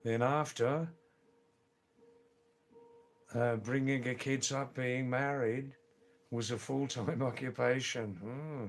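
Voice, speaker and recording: An older man with an Anglo-Indian accent hosts a relaxed live stream and answers viewers one by one. His talk rambles along, full of ums, restarts and friendly asides. His pitch sits in an ordinary middle range. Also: takes it slowly, long pauses, soft background music. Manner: rambling, chatty, many fillers and restarts. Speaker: livestream host. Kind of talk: casual live-stream chat reading viewer comments.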